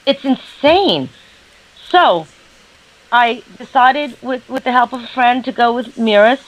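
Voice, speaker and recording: A woman's voice: a little high, expressive, a little slow, rough recording. A woman with a New York accent, a guest trader chatting casually on a call, recorded through her laptop's built-in mic.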